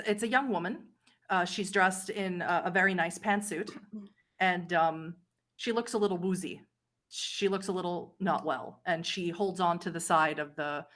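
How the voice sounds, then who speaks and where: Woman, game master, tabletop narration, slightly low pitch, measured storytelling.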